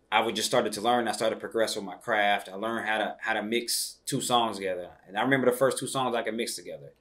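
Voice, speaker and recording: A middle-aged man speaks in a slightly low voice. He rambles comfortably through his memories, full of "you know" asides, as the guest in a sit-down studio interview. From Indianapolis, Indiana. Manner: relaxed, rambling, many "you know" fillers. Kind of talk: casual interview storytelling.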